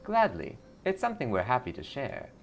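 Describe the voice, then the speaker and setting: A man's voice: medium pitch, wildly swooping pitch, fairly quick, gravelly, slight background noise, voice pushed up. A man voicing a blissful, eager cult greeter in a video game, sweetly pious and salesy. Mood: serene, eager.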